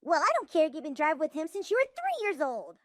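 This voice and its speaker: A woman voicing an excitable, cautious young girl in a comic dub, with an indignant, exclamatory manner. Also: high-pitched, fast talker, no room sound, clean studio sound, exaggerated voice.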